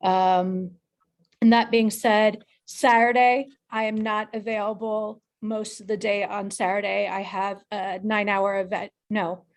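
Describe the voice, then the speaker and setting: A woman with a fairly flat, creaky delivery makes casual announcements to a group on a video call. She explains things in a matter-of-fact way. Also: medium pitch. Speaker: production coordinator making announcements.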